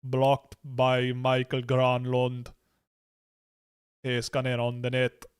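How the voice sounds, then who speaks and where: A man's voice: medium pitch, monotone, slow, smooth, natural voice. A man putting on a Finnish accent to imitate a male hockey play-by-play announcer calling passes.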